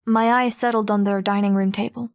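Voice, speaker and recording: A woman playing a guarded, observant teenage girl who narrates an audiobook in the first person, reflective and measured. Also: mid-range voice, flat delivery, smooth, natural voice. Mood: wary. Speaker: narrator and lead character.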